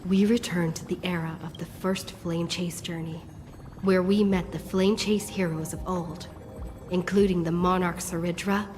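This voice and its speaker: A woman voicing a solemn heroine who recounts a fantasy quest in measured, scripted video game narration. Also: fairly low pitch, lightly acted. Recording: long reverb under game music. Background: game music.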